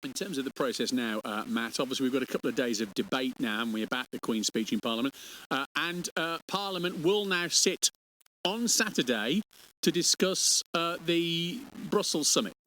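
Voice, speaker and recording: A man's voice: mid-range voice, expressive, moderate pace, pushed and bright, a little hiss or hum, low-quality recording. A man interviewing on radio about politics, challenging his guest with hesitant phrasing and tag questions.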